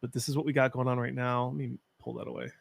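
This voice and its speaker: A young man with a deep voice, chatting casually and giving opinions while hosting a live-stream watch party. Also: flat delivery, quick pace, creaky. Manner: chatty, opinionated, frequent fillers.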